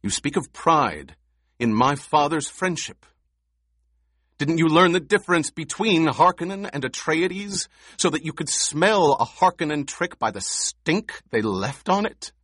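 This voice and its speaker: A man reading an audiobook, voicing a young noble heir who speaks in a deadly quiet, controlled monotone. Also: a little high, measured pace, breathy edge, dry recording, studio quality, pitched above the performer's own voice, full character voice. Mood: impassioned. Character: young male noble heir, cold and commanding. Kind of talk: audiobook character voice.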